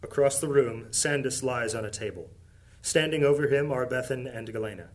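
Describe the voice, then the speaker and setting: A man giving steady, descriptive scripted narration for a fantasy audio drama. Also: mid-range voice, moderate pace.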